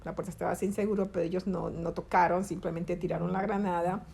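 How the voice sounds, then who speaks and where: A middle-aged woman from Colombia, interviewed about losing her son, who talks haltingly and often restarts as she searches for words. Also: lowish voice, little pitch movement, vocal fry, dark tone, a little hiss or hum. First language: Spanish. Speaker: interviewee, grieving mother. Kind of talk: conversational interview.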